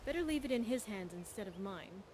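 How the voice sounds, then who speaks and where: A woman's voice: mid-range voice, expressive, a little fast, darkish tone, slight background noise, lightly acted. A woman playing a tough, cocky veteran thief in a video game, with a streetwise, blunt and wry manner. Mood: shrewd, probing. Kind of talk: video game character dialogue.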